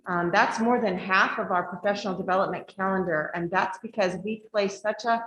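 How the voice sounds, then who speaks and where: A woman's voice: mid-range voice, a bit bright, a little hiss or hum. A woman, a school district director, presenting to a board meeting, reading her slides aloud in a big voice with frequent fillers. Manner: says she has a big voice, reads slides aloud with fillers. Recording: echoey meeting room.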